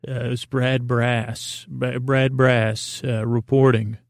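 A man with a creaky voice hosting a bedtime podcast, meandering and repeating himself in a whimsical, improvised monologue that drifts into tangents. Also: mid-range voice, little pitch movement, takes it slowly, dry recording, studio quality. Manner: meandering, repetitive, trails off into tangents; whimsical, silly, talks to an imagined listener. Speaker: podcast host.

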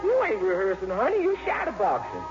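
An actress playing a folksy, knowing housekeeper in a 1950s radio comedy, bantering slyly in homely, ungrammatical speech. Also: female voice, mid-range voice, wildly swooping pitch, fairly quick. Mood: teasing. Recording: dull vintage radio broadcast, loud music.

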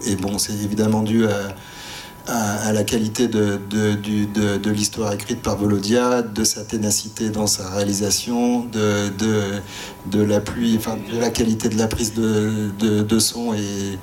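A man, a sound designer on a panel, speaking informally and haltingly, repeating words as he searches. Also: lowish voice, little pitch movement, darkish tone. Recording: clear ambient background noise.

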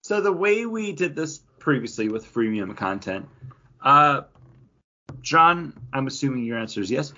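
A man co-hosting a podcast in casual chat, quick and jokey. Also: mid-range voice, very animated, pushed and bright, dull audio. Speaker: podcast co-host.

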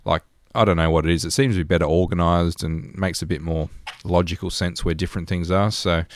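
Low-voiced man chatting, close dry sound. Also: fairly even intonation, a little fast, no echo. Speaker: podcast co-host. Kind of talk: casual gaming podcast chat.

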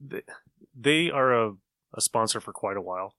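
A man co-hosting a podcast, chatting casually about old radio and retelling bits in an animated way. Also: fairly low pitch, moderate pace, dry recording, studio quality. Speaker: podcast co-host.

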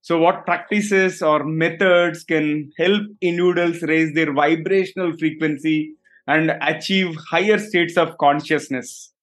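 Higher-pitched man hosting, hesitant. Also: fairly even intonation, unhurried, a bit bright. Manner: hesitant, many fillers, affirming. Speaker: podcast host. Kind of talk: podcast interview questions.

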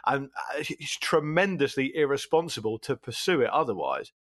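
A man's voice: mid-range voice, animated, moderate pace, fairly bright tone, dry recording. Chatty man in a dry studio sound. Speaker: football podcast panellist. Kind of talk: casual panel chat.

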